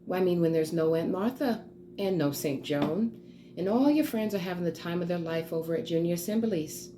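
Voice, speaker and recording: A woman's voice: fairly low pitch, lively intonation, moderate pace, mellow, a little hiss or hum, close to an everyday voice. A woman playing a stern, imperious society mother lecturing her daughter in a theatrical monologue, with clipped emphasis. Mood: stern, scolding.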